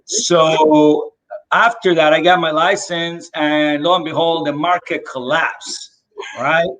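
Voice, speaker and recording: A man's voice: a little high, fairly even intonation, takes it slowly, a bit bright, rough recording. A man from the Dominican Republic, a real estate agent and interview guest, telling his story animatedly and with many fillers over a video call.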